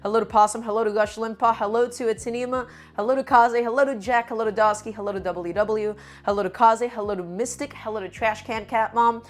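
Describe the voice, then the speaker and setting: A woman's voice: a little high, fairly even intonation, fast, hardly any pauses. A woman hosting a live cooking stream, slightly sick and a bit nasal, talking in an upbeat, chatty way. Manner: upbeat, chatty, greets chat by name.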